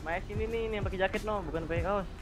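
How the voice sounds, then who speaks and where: Man chatting over loud music. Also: high voice, rapid-fire. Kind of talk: casual in-game chat. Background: music, game sounds.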